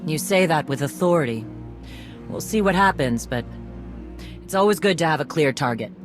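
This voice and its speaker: A woman acting a tough, battle-proud warrior clan chief in a video game, gruff and decisive. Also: low-pitched, lively intonation, normal speed, music in the background. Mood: firm, resolute.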